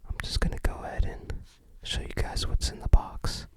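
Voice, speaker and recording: An ASMR host narrating an unboxing in a breathy, unvoiced whisper, with gentle, rambling commentary. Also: moderate pace.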